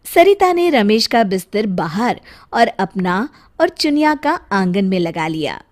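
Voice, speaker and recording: A woman's voice: medium pitch, big pitch swings, a little slow. A woman narrating a scripted moral story expressively as a storyteller. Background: faint music.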